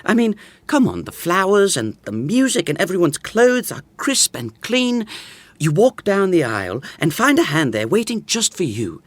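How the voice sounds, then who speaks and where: Teasing young romantic, super animated, dry close sound, romcom audio drama. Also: medium pitch, normal speed, no echo. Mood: wistful, dreamy. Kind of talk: modern romantic comedy audio drama acting.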